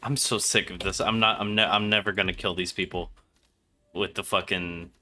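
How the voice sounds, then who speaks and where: A man's voice: low-pitched, steady tone, moderate pace, bright, forceful tone, slight background noise. A man casually commentating on a game as he streams, muttering profane, exasperated asides. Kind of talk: casual live gameplay commentary.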